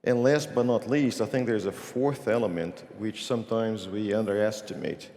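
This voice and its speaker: A man with a fairly low voice answers as a conference panelist, walking through his points one by one with small restarts. He sounds measured rather than rushed. His voice carries the long reverb of a large conference hall. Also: warm tone.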